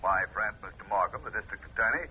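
Detective making a stiff formal speech, low voice, hissy recorded playback, old radio. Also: male voice, pushed and bright, lo-fi sound. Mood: formal, measured. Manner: measured, formal oratory. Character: formal private investigator giving a speech. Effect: played-back recording filter. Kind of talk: 1950s radio drama acting.

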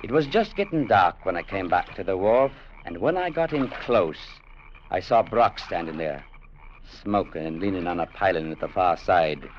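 An actor playing a stern, principled adventurer who narrates an old radio drama in a measured, deliberate way. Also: male voice, low voice, animated, a little hiss or hum. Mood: reflective narration. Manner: measured, deliberate, grimly resolute. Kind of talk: 1940s-50s radio drama narration and acting. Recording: muffled old radio recording with music behind.

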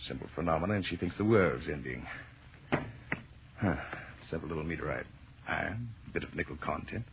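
An actor in a 1930s radio horror play voicing a rational, impatient scientist husband, brusque and given to muttering. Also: male voice, rumbling low, lively intonation, fairly quick, gravelly, exaggerated voice. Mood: irritated. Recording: muffled noisy 1930s radio recording.

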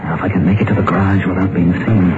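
An actor playing a frightened husband who believes a hired killer is after him, in a 1950s radio drama, talking in a feverish inner monologue. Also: male voice, low voice, steady tone, fast talker, lightly acted. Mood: panicked. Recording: dull, hissy old radio broadcast with music.

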